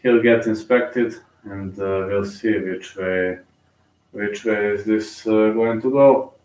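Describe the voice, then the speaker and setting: Rambling Bulgarian man, low and echoey. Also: fairly even intonation, takes it slowly, a little mellow, distant mic. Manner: rambling, thinks aloud with many fillers and restarts. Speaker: live fight commentator. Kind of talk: casual live commentary.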